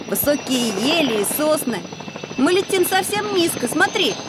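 High-voiced, curious young boy. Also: big pitch swings, normal speed, noisy background. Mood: excited. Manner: eager, wondering. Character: curious young boy, keen on aircraft, dreamy. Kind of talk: children's educational audio drama.

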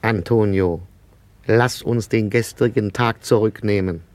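An actor playing a gentle, melancholy older flower seller in a German radio drama, with a measured, lyrical, wistful manner. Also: male voice, fairly low pitch, dry recording, natural voice. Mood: wistful pleading. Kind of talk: German radio play acting.

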